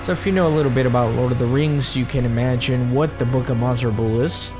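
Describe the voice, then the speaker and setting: Man, medium pitch, casual let's play commentary, easygoing series host, clear background music. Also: fast talker.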